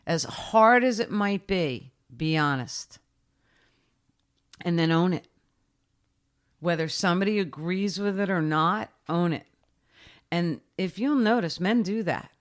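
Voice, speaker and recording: A woman in her sixties talks in a relaxed interview. She is emphatic and direct, driving her points home in short repeated phrases, and her voice sits fairly low. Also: a little slow, long pauses. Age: 60s. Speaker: interview guest. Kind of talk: casual interview.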